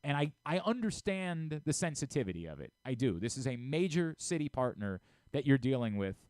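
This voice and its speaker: A man hosting a casual sports talk show from a radio studio. His voice is clear and resonant at an average pitch, with very little room sound. He talks emphatically, repeating phrases to drive the point home. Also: sing-song, brisk, a little mellow, no room sound.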